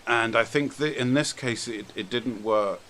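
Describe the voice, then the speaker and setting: A man's voice: medium pitch, moderate pace, pushed and bright. A British man hosting a podcast, chatting casually about films in an informal, blunt way. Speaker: podcast host. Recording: noticeable background noise.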